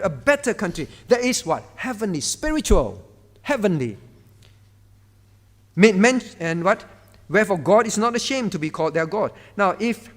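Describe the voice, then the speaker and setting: A male pastor, preaching a sermon in a conversational way and often turning to the congregation. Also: sits high. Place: church hall. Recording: reverberant church hall.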